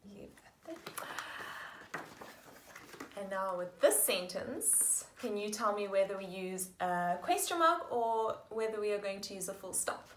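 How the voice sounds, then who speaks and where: A woman teaching young children, slowly sounding out sentences and praising them warmly. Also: medium pitch, lively intonation, slight background noise. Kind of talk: slow, patient lesson for young children. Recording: echoey room.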